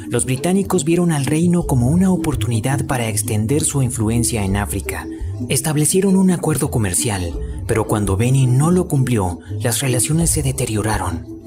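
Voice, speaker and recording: Latin american spanish guy doing a documentary voiceover, middle pitch, clear music behind him. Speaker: amateur voice-over narrator. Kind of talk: scripted documentary narration, voice-over. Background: background music.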